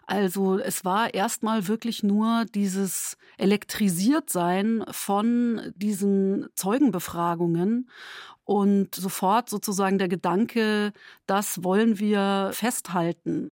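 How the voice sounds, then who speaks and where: A woman's voice: mid-range voice, fairly even intonation, takes it slowly, a little mellow, dry recording, studio quality. A woman explaining her work as a dramaturge in an interview statement. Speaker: chief dramaturge.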